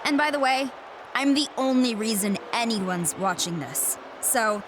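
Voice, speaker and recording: A woman's voice: on the high side, unhurried, background noise, lightly acted. A woman playing an arrogant, sneering wrestling champion in a game, with a smug, sarcastic and cutting delivery. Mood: mocking. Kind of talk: scripted sports-entertainment promo.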